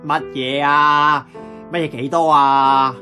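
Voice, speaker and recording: A man playing an eager, impatient young nephew in a radio drama, animated and colloquial, with a Hong Kong accent. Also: a little high, slow, exaggerated voice. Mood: impatient, indignant. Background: plucked guitar music.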